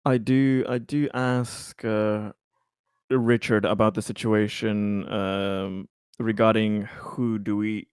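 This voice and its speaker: Slow, smooth low-voiced male player. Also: little pitch movement, darkish tone, dry recording, studio quality. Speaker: tabletop game player. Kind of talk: casual, deliberating game talk.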